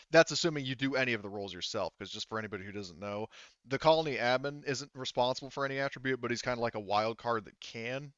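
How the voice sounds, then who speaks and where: A man's voice: mid-range voice, a bit bright, clear, resonant tone, dry recording, dull audio. A man acting as game master, casually talking through tabletop game rules in a rambling, backtracking way.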